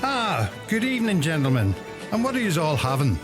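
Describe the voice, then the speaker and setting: A man's voice: on the high side, huge pitch swings, a little fast, over music. A man playing a gossipy, self-important village publican with a Donegal Irish accent in a radio play. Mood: welcoming.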